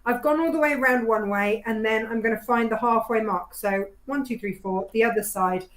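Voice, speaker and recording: A woman's voice: a little high, a bit bright, poor audio. Cheerful woman sewing guest. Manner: cheerful, quick, chuckling, shows steps as she goes. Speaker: sewing pattern designer, guest. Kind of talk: casual demonstration chat.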